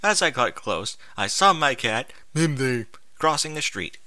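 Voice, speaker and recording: A man's voice: mid-range voice, sing-song, unhurried, sharp tone, no room sound. A man reading a story aloud with exasperated, sarcastic, sweary commentary. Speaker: host reading and mocking amateur fan fiction aloud.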